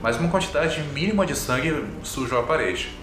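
A man's voice: a little high, expressive, brisk. A man with a Brazilian accent narrating a tabletop game as game master. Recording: roomy echo, music underneath.

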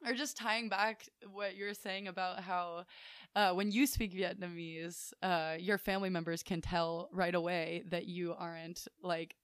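A woman's voice: fairly low pitch, level tone, measured pace, smooth, dry recording, studio quality. A young woman in casual podcast conversation, thinking aloud with fillers and restarts. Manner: thoughtful, rambling with restarts and fillers.

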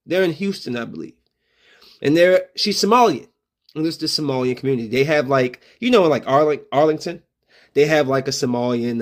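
A man hosting a solo opinion podcast, talking in loose slang and acting out street confrontations in a raised voice. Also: mid-range voice. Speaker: podcast host.

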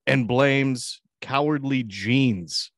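A man's voice: medium pitch, very slow, no echo, clean studio sound. A man chatting casually in a profane, rambling way, often jumping in with interjections. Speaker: talk show co-host. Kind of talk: casual bantering chat.

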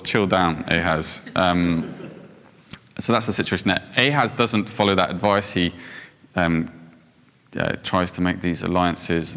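Deep-voiced man preaching casually. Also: fairly bright tone, long reverb tail. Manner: chatty, self-deprecating, many ums. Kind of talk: informal sermon with storytelling.

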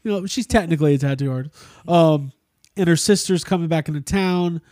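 A man's voice: on the high side, fairly quick, mellow, no room sound, clean studio sound. A man hosting a casual podcast chat about a film, rambling and jokey, swearing freely. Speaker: podcast host.

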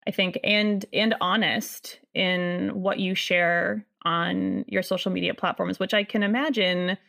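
A woman's voice: medium pitch, fairly even intonation, unhurried, vocal fry, fairly bright tone. A woman hosting a podcast, reading an upbeat introduction and leading an interview. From central Massachusetts. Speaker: podcast host.

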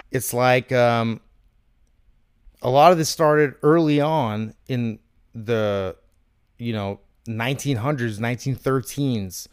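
A man in his thirties talking politics casually, animated and questioning, with some swearing, as a podcast host. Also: mid-range voice, clean tone, no room sound, studio quality. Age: 30s.

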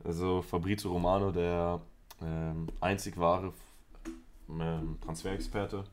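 A man's voice: low voice, level tone, slow pace, darkish tone. A man co-hosting a podcast, chatting casually with a wry, joking manner. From Southern Europe. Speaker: podcast co-host. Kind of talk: casual chat.

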